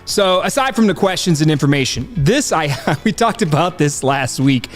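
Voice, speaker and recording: A man's voice: a little high, expressive, normal speed, in a large hall, soft background music. A male podcast host with a stuffed-up nose, rambling in casual commentary and laughing as he talks. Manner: chatty, rambling, laughs while talking.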